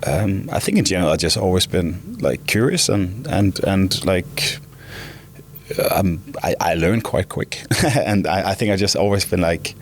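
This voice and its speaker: A man's voice: low voice, animated, measured pace, a little hiss or hum. A man in his twenties from Denmark with a Danish accent, a company founder talking as an interview guest, rambling and often restarting or repeating words. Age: 20s. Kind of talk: casual interview talk.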